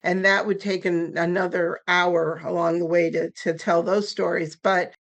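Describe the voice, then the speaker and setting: A woman in her sixties, a guest on a podcast interview, telling her story in a candid, lively way; German was her first language. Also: fairly low pitch, normal speed. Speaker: guest, nonprofit founder.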